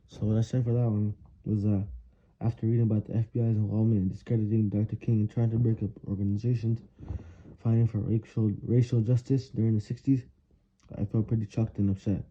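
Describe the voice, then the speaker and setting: A man's voice: lowish voice, level tone, a little fast, dull audio, a little hiss or hum. A young man, a student, reading prepared written answers aloud in an earnest way, now and then stumbling over words.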